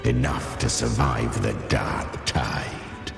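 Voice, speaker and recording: An actor playing an ancient, proud, predatory demon beast in a video game, with gravelly growls and grandiose, archaic speech. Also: male voice, very deep voice, huge pitch swings, takes it slowly, heavily acted. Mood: menacing, hungry. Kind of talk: dramatic game voice acting. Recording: cavernous reverb with faint music.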